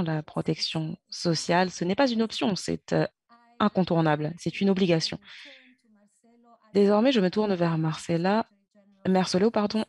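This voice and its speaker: A woman interpreting conference speeches in real time, her voice sitting on the low side. She talks in halting phrases, pausing and correcting herself as she goes. The sound is dry and close. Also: darkish tone, no room sound. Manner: halting, with pauses and self-corrections.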